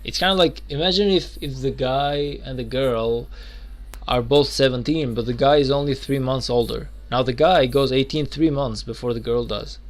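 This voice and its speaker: Young man, mid-pitched voice, rambling stream chatter, lots of fillers. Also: normal speed. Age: 20s. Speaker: game streamer. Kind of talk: casual live-stream chat.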